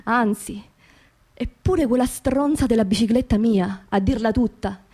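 A woman with a high voice and a Roman accent tells a story as a theatrical radio monologue. Her language is colloquial and earthy, and she leans on words by saying them again and again. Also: a little slow, mellow, husky. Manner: emphatic repetition of words and phrases. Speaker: stage actress performing her own monologue.

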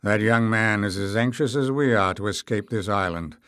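A man voicing a gruff, shrewd male adventurer in an audiobook, wry and growling. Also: fairly low pitch, normal speed, a bit bright, no room sound, studio quality, natural voice. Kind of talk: acted audiobook dialogue.

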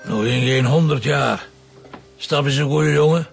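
An actor playing a gruff, curt old grandfather and farm patriarch with a gravelly voice and Flemish accent. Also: medium pitch, a little slow, faint music behind. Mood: curt, defensive. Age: older. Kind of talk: radio drama acting.

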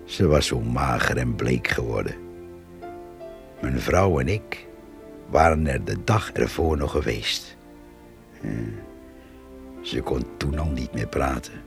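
Very deep, slow, sombre countryman. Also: animated, creaky, soft background music, exaggerated voice. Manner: halting, plain, reflective. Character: sombre, simple countryman recounting a neighbour's death. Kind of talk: radio drama monologue.

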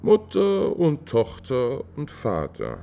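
An actor playing a cold, cunning courtier in a 1950s German radio drama, measured, officious and insinuating. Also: male voice, mid-range voice, wildly swooping pitch, vocal fry, warm tone, soft background music, heavily acted. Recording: vintage 1950s radio recording.

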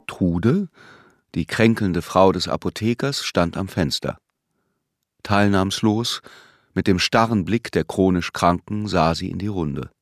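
A man narrating a literary radio drama in a measured, sober manner. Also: deep voice, no echo, soft background music. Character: measured, literary narrator of a small-town tale.

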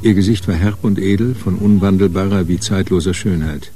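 A man's voice: low voice, fairly even intonation, fairly quick, warm tone, soft background music. A man narrating a scripted crime radio play as a literary storyteller.

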